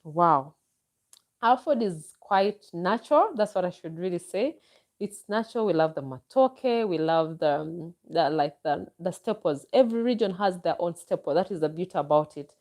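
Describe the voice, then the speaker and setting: Warm, low-voiced Ugandan woman chatting. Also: expressive, normal speed. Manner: enthusiastic, chatty, with frequent exclamations. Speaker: vlogger answering viewer comments. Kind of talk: casual live chat.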